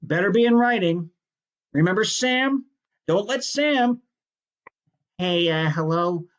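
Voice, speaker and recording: A man's voice: high voice, expressive, takes it slowly, highs cut off. A man teaching a recorded lecture in a conversational, explanatory way, often stopping to correct himself mid-sentence. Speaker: instructor. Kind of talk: recorded lecture on project management.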